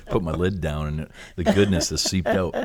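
A man's voice: low voice, fairly even intonation, moderate pace, crowd noise. A man hosting a talk podcast with casual, jokey, enthusiastic banter. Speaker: podcast host.

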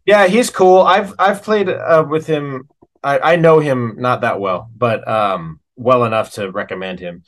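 A man's voice: medium pitch, measured pace. A middle-aged man chatting casually as an interview guest, telling stories in an enthusiastic, informal, slangy way.

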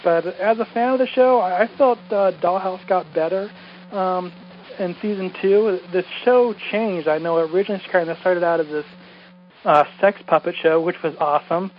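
A man's voice: high-pitched, normal speed. A man who podcasts, leaving a casual, rambling message over a muffled phone line. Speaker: podcaster calling in. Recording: muffled phone voicemail, faint noise.

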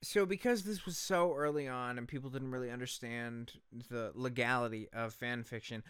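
A man's voice: mid-range voice, normal speed, fairly bright tone, smooth, no echo, studio quality. A man co-hosting a comedy podcast, telling a researched story casually, with emphatic, profane delivery. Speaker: podcast co-host.

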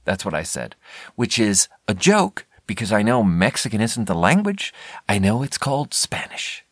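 A man's voice: on the low side, very animated, normal speed, slightly rough, no echo, clean studio sound. An American man hosting a podcast alone, rambling casually and candidly in a conversational way, often interrupting himself. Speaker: podcast host. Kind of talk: casual rambling solo talk.

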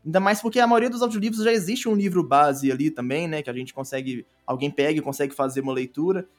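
A Brazilian man gives a casual interview in a high voice. He rambles and repeats himself, with faint music in the background. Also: fast. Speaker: guest, audio drama creator and narrator.

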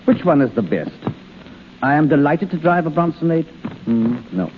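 An actor playing an effusive, fussy, self-pleased company boss in a 1930s radio play. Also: male voice, fairly high pitch, sing-song, normal speed, soft background music. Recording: echoing old radio broadcast, narrow band.

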